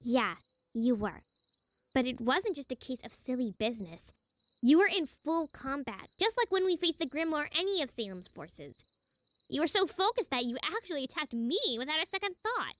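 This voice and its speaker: A woman's voice: high-pitched, sing-song, moderate pace. A woman voicing a composed, frank young huntress in an audio drama, firm but caring. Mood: frank, concerned. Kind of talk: dramatic fan-fiction voice acting.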